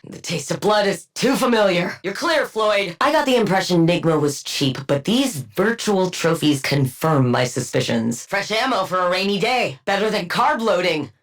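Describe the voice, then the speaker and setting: A brilliant female scientist turned squad antihero for a video game. Her voice sits a little low and moves through a very animated range. She delivers combat callouts with clinical precision and a dry, bookish wit. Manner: clinical, deadpan, wryly bookish.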